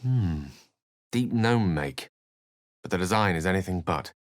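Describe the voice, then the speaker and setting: Low-pitched, eloquent, wry wizard. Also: male voice, expressive, a little slow, no echo, clean studio sound. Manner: articulate, theatrical, wordy and wry. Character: eloquent, scholarly male wizard with a wry temper. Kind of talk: video game voice acting, dramatic narration and dialogue.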